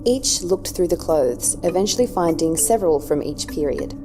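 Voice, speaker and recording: A woman's voice: sits low, expressive, moderate pace. A woman narrator reading scripted story narration for an audio drama. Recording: music underneath.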